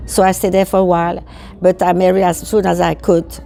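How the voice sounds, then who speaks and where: A woman in her eighties from Belgium, with a Belgian French accent, speaking English as a second language and recalling her childhood in a halting way that repeats words. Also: lowish voice, flat delivery, gravelly, warm tone, a little hiss or hum. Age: 80s. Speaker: guest. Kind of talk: recounting childhood memories.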